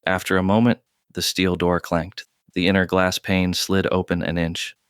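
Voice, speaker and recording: A man narrates a scripted audio drama in a low, smooth voice. His delivery is measured and terse with very little rise or fall. The sound is close and dry. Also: flat delivery, dry recording.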